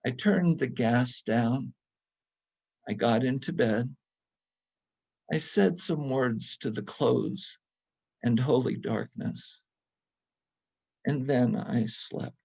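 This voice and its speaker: A man reading a literary story aloud for a church service, with measured, deliberate phrasing. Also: mid-range voice, gravelly, slightly rough. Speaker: readers theater reader at a church service.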